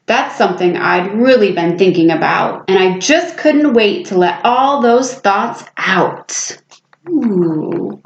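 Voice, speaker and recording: A woman reading a picture book aloud to children like a teacher, with an animated, dramatic delivery and casual asides. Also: medium pitch, slow pace, gravelly. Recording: echoey room, slightly muffled sound.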